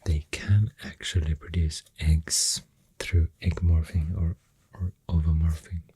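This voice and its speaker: A soft-spoken ASMR creator with a Swedish accent, rambling in a breathy, husky near-whisper with hesitant pauses, close to the microphone. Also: rumbling low, little pitch movement, dry recording. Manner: slow, hesitant, pausing between phrases.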